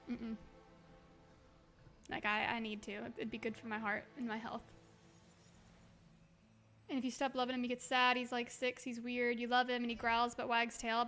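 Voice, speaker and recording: A woman chatting casually on a game livestream, expressive and affectionate, reading messages aloud, with a slightly hoarse voice. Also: fairly high pitch. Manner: expressive, exclaims often, gushes affectionately. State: slightly hoarse, by own account. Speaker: livestream host. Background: music.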